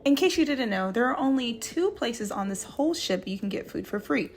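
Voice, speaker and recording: A woman's voice: fairly high pitch, lively intonation, fairly quick. A woman giving a casual, conversational voice-over for a vlog, with some slang. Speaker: vlog narrator. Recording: music under narration.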